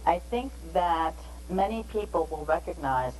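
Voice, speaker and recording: A woman giving a measured, explanatory training lecture on interpreting, pausing between phrases. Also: on the low side, animated, darkish tone, rough recording.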